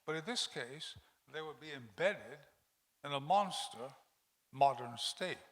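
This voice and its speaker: A man reading measured prepared remarks at a panel, with small stumbles. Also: a little high, expressive, a bit bright. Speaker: panel speaker. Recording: long reverb of a hall.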